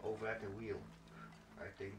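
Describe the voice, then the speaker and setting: A man's voice: fairly low pitch, flat delivery, moderate pace. A man giving casual, rambling gameplay commentary, thinking aloud as he plays. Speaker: let's play gamer. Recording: roomy, distant mic, faint noise.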